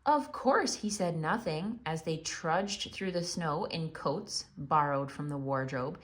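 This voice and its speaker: A woman narrating a children's story theatrically, with expressive, dramatic emphasis. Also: low voice, slow.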